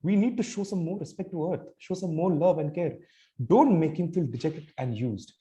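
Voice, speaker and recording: Male contestant, Indian accent, soft dark tone, stand-up style comic monologue. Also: fairly high pitch, normal speed. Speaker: storytelling contestant.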